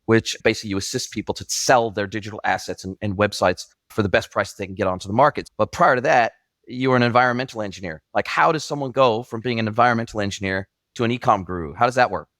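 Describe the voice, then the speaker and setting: A man's voice: fairly low pitch, fast, fairly bright tone, no echo, clean studio sound. A man in his forties with a mixed international accent, hosting a podcast interview in an effusive, enthusiastic way. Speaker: podcast host.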